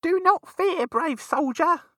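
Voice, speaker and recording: A man voicing a scheming old woman with a high, wailing, slightly annoying voice, in a storybook reading. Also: slow pace, bright, forceful tone, no echo, clean studio sound, exaggerated voice. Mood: urging.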